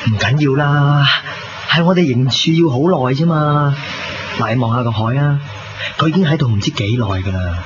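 An actor playing a young boyfriend in a Hong Kong Cantonese radio drama, speaking in a soft, coaxing, conversational way. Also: male voice, mid-range voice, normal speed, noisy background, natural voice. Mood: tender, reassuring. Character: young man teasing and reassuring his girlfriend. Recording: dull lo-fi radio recording with music.

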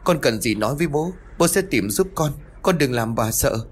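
Người đàn ông đóng người con trai lo lắng dỗ dành. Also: male voice, mid-range voice, animated, moderate pace, breathy edge, voice pushed up. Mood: anxious soothing. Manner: soothing, reassuring. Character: worried middle-aged son and father.

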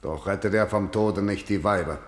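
A man's voice: on the low side, moderate pace, roomy sound, lightly acted. An actor playing a harsh, vengeful old king who declaims classical verse in a commanding manner for radio drama. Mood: angry, commanding. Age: older.

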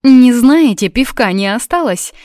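Audiobook role: melodramatic young woman, lovelorn and whiny, raised forceful pitch, quick to wail or snap, voiced by a female reader. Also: animated, no room sound, clean studio sound. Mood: hopeful. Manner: whining, wailing, snapping. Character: dramatic heartbroken young woman, whiny, volatile, hungover.

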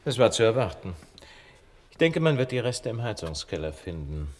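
A man in a radio play acting a shrewd, self-assured detective who lectures in a measured, wry, teasingly superior way. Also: on the low side, sing-song, darkish tone. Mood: calm, assured.

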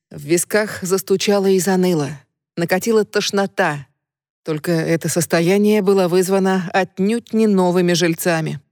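A woman's voice: mid-range voice, darkish tone, dry recording, clean studio sound. A woman narrating a gothic romance audiobook in the first person, emotional and intimate.

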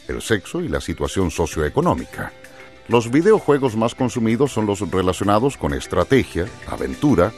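A man reading scripted educational narration as the narrator of a radio programme. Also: low voice.

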